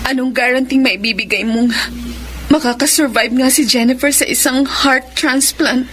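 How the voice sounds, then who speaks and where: A woman's voice: high voice, fairly even intonation, moderate pace, fairly bright tone, slight background noise. A Filipino woman acting an anguished, loving mother in a melodramatic radio drama. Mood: moved, doubtful. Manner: melodramatic, emotional.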